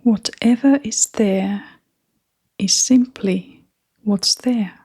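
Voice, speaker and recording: A woman leading a guided meditation in a soft, half-whispered, breathy voice, with gentle, unhurried phrasing. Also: medium pitch.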